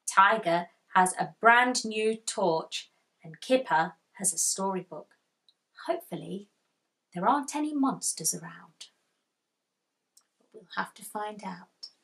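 A woman in her thirties reading aloud to young children as a storytime host, with deliberate emphasis and pauses to ask listeners questions. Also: mid-range voice, a bit bright. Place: small indoor room with bookshelf.

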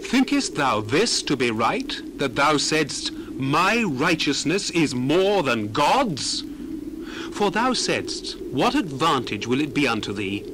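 A man's voice: on the high side, sing-song, slow pace, noisy background. A man playing an earnest, admonishing biblical orator in a solemn, declamatory dramatized scripture reading. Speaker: dramatized Bible reader.

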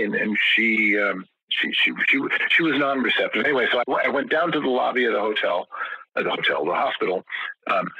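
Husky, low-voiced man telling stories. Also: sharp tone, no echo, muffled recording. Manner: rambling, many restarts and repeated words. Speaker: guest musician. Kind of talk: casual interview storytelling.

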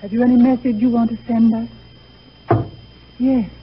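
A woman's voice: fairly high pitch, flat delivery, unhurried, natural voice. An actress playing a solemn, questioning woman at a séance in a 1930s radio drama. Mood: solemn, expectant. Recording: noisy old 1930s radio recording, muffled.

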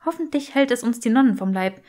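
A woman's voice: fairly high pitch, lively intonation, fast talker, darkish tone, close to an everyday voice. A woman voicing a clever, earnest young witch with teasing wit in an audiobook, matter-of-fact in manner.

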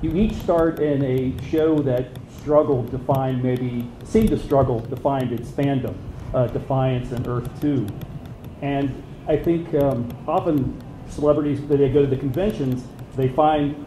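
A man's voice: mid-range voice, steady tone, a little slow, background noise. A man asking a careful, winding question from the audience at a convention panel. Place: convention hall. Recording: echoey hall.

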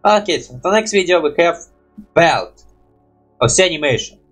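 A man's voice: on the high side, animated, normal speed, fairly bright tone, faint music behind. A young man reacting casually to animations in rambling, hesitant, non-native English, with habitual mild swearing. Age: young adult. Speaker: reaction video host. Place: small room at home.

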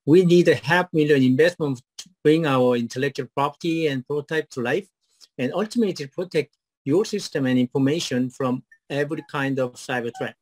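A middle-aged man with a Korean accent, a startup founder giving a rehearsed pitch and then answering questions haltingly with many fillers over a video call. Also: darkish tone, dry recording, poor audio. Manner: hesitant, many fillers and restarts when answering. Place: home office on video call. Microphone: video call webcam.

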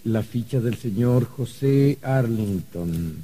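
A man's voice: medium pitch, lively intonation, slow, warm tone, breathy edge. An actor playing a fussy, prudish male bureaucrat of the afterlife in a comedic radio play, officious and condescending. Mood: soothing, officious. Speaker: bureaucratic adviser. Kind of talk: comedic radio drama acting.

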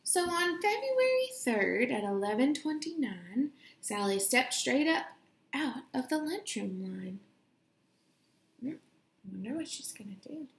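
A young woman reading a children's picture book aloud in a deliberate, expressive storytelling way, recorded in a room at home. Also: high-pitched, gravelly, slight background noise. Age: young adult. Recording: roomy home echo.

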